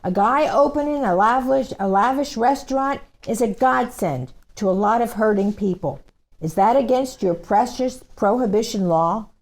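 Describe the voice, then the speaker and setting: Woman acting a hard-nosed official, fairly high female voice, condescending, sarcastic, table-read drama. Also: normal speed, darkish tone, natural voice. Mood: scornful. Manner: sarcastic, pointed. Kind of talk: script table reading.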